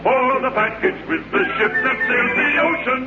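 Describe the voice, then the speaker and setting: An actor playing a jolly sailor, singing a jingle in a 1940s radio advertisement. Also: male voice, very high-pitched, moderate pace, over loud music. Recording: muffled, narrow vintage radio recording.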